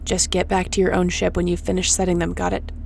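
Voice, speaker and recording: A woman's voice: lowish voice, completely flat delivery, quick pace, gravelly. A woman playing a tough, defiant starship captain in a sci-fi audio drama, speaking bluntly and with command. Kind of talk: sci-fi audio roleplay acting. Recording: faint background noise.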